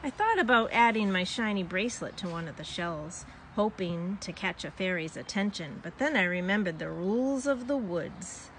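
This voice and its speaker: A woman's voice: medium pitch, lively intonation, normal speed, hardly any pauses, fairly bright tone, rough recording. Woman reading a children's story, background noise. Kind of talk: expressive read-aloud of a children's story.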